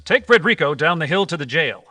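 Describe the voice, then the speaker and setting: A man's voice: on the high side, big pitch swings, fast, bright, forceful tone. A man playing a brave, decisive adventure hero in an old-time radio drama performed live. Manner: crisp, decisive, commanding. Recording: live stage performance in reverberant hall.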